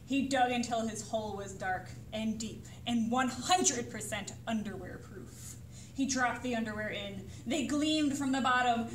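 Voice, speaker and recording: A woman reading a picture book aloud to children as a librarian, with dramatic, suspenseful delivery. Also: a little high. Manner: expressive, suspenseful pauses and sudden exclamations. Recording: roomy echo, distant mic, faint noise.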